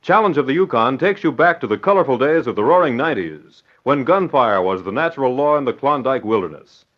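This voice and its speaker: Male vintage radio announcer: theatrical, formal, widely swinging delivery, medium pitch, dull recording. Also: animated, a little fast. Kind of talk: 1940s radio announcing and dramatic narration.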